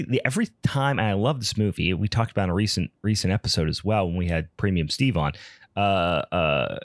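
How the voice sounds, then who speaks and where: A man's voice: on the low side, no echo, studio quality. A man co-hosting a podcast, in a slangy, jokey drawl. He says he is feeling a bit under the weather. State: feeling unwell. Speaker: podcast co-host. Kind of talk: casual chat.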